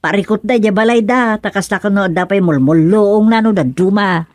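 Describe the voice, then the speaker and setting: A woman with an Ilocano accent playing a chiding, gossipy village woman in a radio comedy drama, with a lively, nagging way of talking. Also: medium pitch, normal speed, dry recording, clean studio sound, close to an everyday voice.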